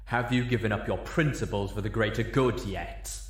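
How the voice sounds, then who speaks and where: A man's voice: lowish voice, normal speed, echoey room, close to an everyday voice. A man voicing a mocking, menacing male pony villain in a story.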